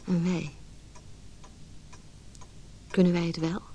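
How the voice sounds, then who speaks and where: An actress playing a philosophical narrator, giving solemn, deliberate scripted narration for a radio play. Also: female voice, fairly low pitch, level tone, mellow, slight background noise. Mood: pensive, ominous. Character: philosophical, ominous female narrator musing on fate.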